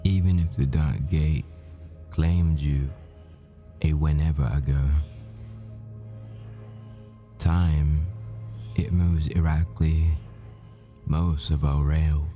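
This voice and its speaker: Man acting a deep, slow, grieving boyfriend. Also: fairly even intonation, warm tone. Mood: anguished, defiant. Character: grieving, devoted British professor boyfriend at world's end. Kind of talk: intimate ASMR boyfriend roleplay, dramatic monologue. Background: music.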